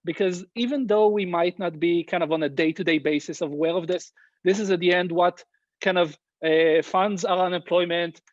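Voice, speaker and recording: A man's voice: high voice, steady tone, normal speed, dry recording, lo-fi sound. A man introducing a design workshop, hesitant with many fillers and restarts. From Israel. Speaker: workshop facilitator, design director.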